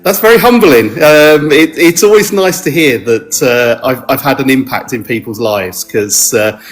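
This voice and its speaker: A middle-aged man from South London with a South London accent, talking modestly in an interview and pausing often with ums and restarts. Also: medium pitch. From South London, England. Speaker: mortgage adviser guest. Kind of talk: relaxed podcast interview.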